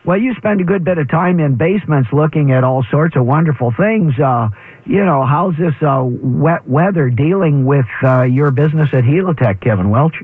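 A man's voice: fairly high pitch, lively intonation, normal speed, breathy edge. An upbeat male radio host chatting through a call-in show. He banters easily and teases his guests. The sound is thin and tinny, like a phone line.

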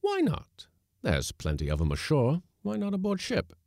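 A man voicing a gruff, commanding ship's captain with an irritable, scheming streak in a storybook tale. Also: a little high, huge pitch swings, a little slow, a little mellow, dry recording, clean studio sound, voice pushed up, full character voice. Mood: warm, insistent. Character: stern, blustering male schooner skipper, irritable but scheming.

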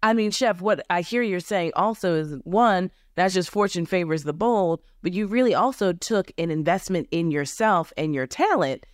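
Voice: mid-range voice, animated, normal speed, hardly any pauses, dry recording. Chatty host gushing and rambling thru questions, dry close mic. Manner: enthusiastic, rambling, hedging questions. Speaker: podcast host. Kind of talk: interview conversation.

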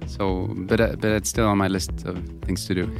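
Swedish-native male musician, low and gravelly, halting casual answers. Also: little pitch movement, music in the background. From Sweden. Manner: halting, many fillers and restarts. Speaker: musician guest. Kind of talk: casual interview.

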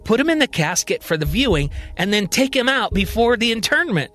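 A man's voice: high voice, sing-song, a little fast, fairly bright tone, faint music behind, pitched above the performer's own voice. A man voicing an eager, persuasive male schemer in a science-fiction audio story. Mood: eager suggesting. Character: eager male schemer full of bold ideas.

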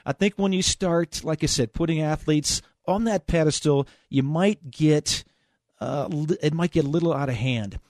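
A man's voice: a little high, normal speed, a little mellow, dry recording, studio quality. A man with an American accent hosting a conversational sports call-in show on the radio.

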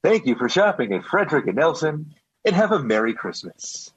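Radio play role: courteous store announcer, muffled sound, declamatory, with big rises and falls. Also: male voice, on the high side, very animated, a little fast, slightly rough, heavily acted. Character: formal department store closing-time announcer. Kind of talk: old-time radio drama acting.

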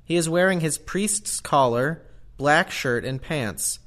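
A man's voice: on the high side, expressive, slow pace, fairly bright tone. A man narrating scripted stage directions for an audio play.

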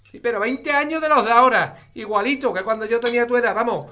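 A woman's voice: fairly high pitch, quick pace, pushed and bright. A woman playing an old-fashioned, pious Andalusian grandmother in a family radio sitcom, scolding and melodramatic in a folksy Sevillian accent. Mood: indignant, nostalgic. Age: older. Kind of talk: audio drama family sitcom acting. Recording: clattering dishes and cutlery noise.

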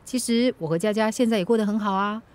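A woman's voice: medium pitch, fast talker, darkish tone, natural voice. An actress playing an independent middle-aged ex-wife and mother in a radio drama, frank and chiding, firm but caring. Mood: resigned, firm. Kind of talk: Chinese radio drama acting.

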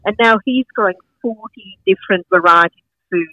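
A woman's voice: medium pitch, fairly quick, sharp tone, dry recording, low-quality recording. A middle-aged woman from Sri Lanka with a Sri Lankan accent, chatting enthusiastically as an interview guest, rambling with many fillers. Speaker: guest, market founder and farmer. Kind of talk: casual interview chat.

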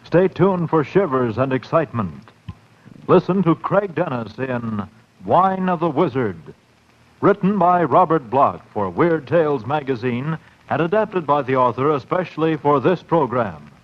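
A man's voice: a little high, lively intonation, measured pace. A man announcing a 1940s radio program from a script, formal and dramatic. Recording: muffled 1940s radio recording.

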